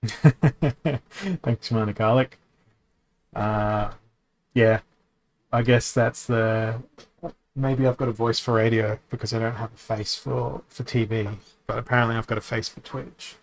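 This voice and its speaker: A man streaming a card game, thinking aloud as he plays, often correcting himself and pausing to hesitate. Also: medium pitch, steady tone, rough recording. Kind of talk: casual commentary while playing a card game.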